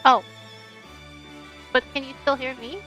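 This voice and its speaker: A woman's voice: high voice, fairly even intonation, unhurried, pushed and bright. A woman with a bubbly, playful manner, talking as a game streamer on a livestream. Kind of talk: casual livestream chat with read-aloud game narration. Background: game music.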